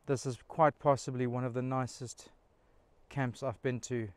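A man's voice: mid-range voice, level tone, normal speed, smooth, no room sound. A man in his thirties talks enthusiastically to his viewers from a forest campsite. He sounds chatty and pleased, and his voice is picked up outdoors by a camera mic. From Australia. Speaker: camping vlogger. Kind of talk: casual solo camping vlog. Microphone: camera mic, handheld selfie and tripod framing.

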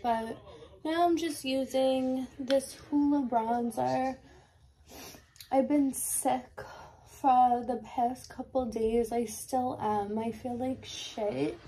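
A woman's voice: high-pitched, fairly even intonation, slow pace, warm tone, a little hiss or hum, poor audio. A young woman, stuffy and sniffly from a cold, chatting casually while doing her makeup at home. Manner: chatty, candid, filler-heavy. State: congested and sniffly. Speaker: lash tech and beauty vlogger. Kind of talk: casual get-ready-with-me chat. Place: bedroom, indoors. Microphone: camera in front, close.

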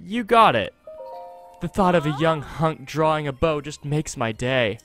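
A man's voice: fairly high pitch, animated, unhurried, a bit bright, faint music behind. A man voicing a flirty, daydreaming female bow-shop keeper from a video game, gushing and coy as he reads. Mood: pleased, coy. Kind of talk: reading game dialogue aloud.

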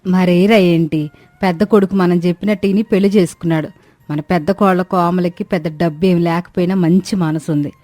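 A bewildered village mother-in-law from a cartoon family tale, voiced by a woman. She frets in homely rustic speech, on the lower side, recorded dry and close. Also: steady tone, brisk, mellow, no echo, lightly acted. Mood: bewildered, complaining. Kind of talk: animated moral-story voice acting.

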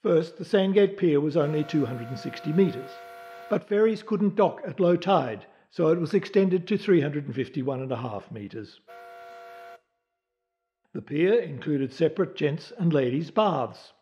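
A man's voice: on the high side, animated, a little slow, dark tone, dull audio, a little hiss or hum. A man reading scripted historical narration for a documentary.

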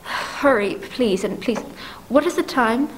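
A woman's voice: a little high, animated, slow, slight background noise, rough recording. A young woman playing a frantic, demanding invalid wife in a 1940s-style radio play, stammering and repeating herself as she pleads. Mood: nervous, demanding.

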